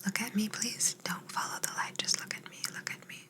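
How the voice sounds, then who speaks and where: A jaded female alien, played by a woman, speaks in a breathy whisper for an ASMR roleplay. She is bossy yet soft, handling her human specimen with dry condescension and clinical calm. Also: a little fast, natural voice. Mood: calm, instructing. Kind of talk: ASMR roleplay, personal attention.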